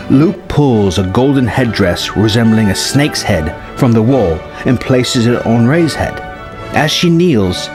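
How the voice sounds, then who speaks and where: Guy reading out a sci-fi story, mid-pitched voice, music playing underneath. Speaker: narrator. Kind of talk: scripted story narration, reading a film treatment. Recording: music behind the voice. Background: background music.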